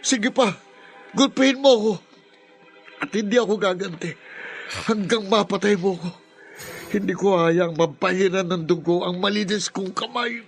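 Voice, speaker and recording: A man's voice: sits high, normal speed, gravelly, pauses often, soft background music. A man with a Filipino accent playing a spiteful, taunting younger brother and defiant son in a radio drama, with a sneering, melodramatic delivery. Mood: defiant, contemptuous.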